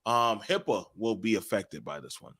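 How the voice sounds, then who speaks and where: Guy with a lowish voice stumbling through a panel intro, dry close sound. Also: very animated, a bit bright, no room sound. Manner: halting, many fillers and restarts. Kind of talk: casual online panel chat.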